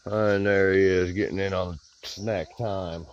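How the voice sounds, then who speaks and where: A man's voice: deep voice, fairly even intonation, measured pace. A man narrating a casual backyard vlog while filming his chickens outside, muttering wry remarks at the flock. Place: outdoors in a rural backyard with chicken coops. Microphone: handheld camera. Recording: dull lo-fi camera audio with clucking behind.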